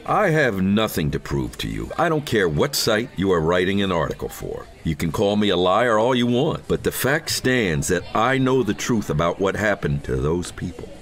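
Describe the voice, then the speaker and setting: A man's voice: sits low, sing-song, moderate pace, hardly any pauses. A man playing a defensive, uneasy ghost hunter in an audio horror drama, earnest and grave, easily indignant. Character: defensive, solemn, uneasy male ghost hunter with thinning hair. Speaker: character actor in an audio horror story.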